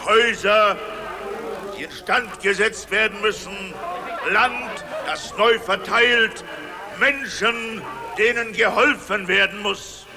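An actor playing a gullible, remorseful Russian tsar in a children's radio play, speaking in a solemn theatrical manner. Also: male voice, sits high, a crowd in the background. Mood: solemn, proclaiming. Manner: slow, theatrical, declaiming.

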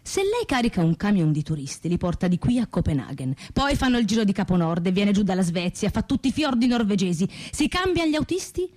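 A woman's voice: medium pitch, sing-song, fast talker, hardly any pauses, darkish tone. An actress playing a mocking, earnest woman in a radio drama, declamatory and sardonic. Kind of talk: theatrical radio drama monologue and dialogue.

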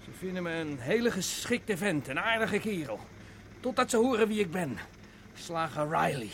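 A man's voice: high voice, big pitch swings, moderate pace. An actor playing a nervous, defensive executioner in a radio play, hesitant and stammering as he justifies himself. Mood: bitter, self-pitying. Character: nervous, defensive executioner, a lonely drinking outcast. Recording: noisy radio play recording, car sounds. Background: car engine and road noise.

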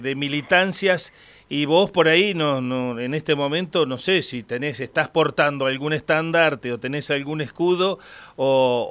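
A man with an Argentine accent hosting a radio interview in a friendly, halting way, full of fillers. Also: on the high side, fairly bright tone, clean tone. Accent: Argentine Spanish. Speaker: radio host.

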